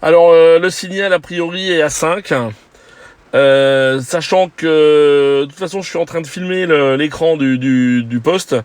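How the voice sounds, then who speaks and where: A man talking casually as an amateur radio operator, in a measured, technical way with hesitant pauses. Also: a little high, smooth, dry recording. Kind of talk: casual two-way radio chat. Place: on a hilltop in the countryside.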